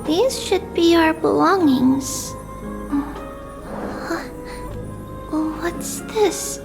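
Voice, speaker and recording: A woman playing a small, gentle demigod priestess girl in a video game, soft-spoken, measured and wistful. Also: very high voice, creaky, over music, heavily acted. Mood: curious.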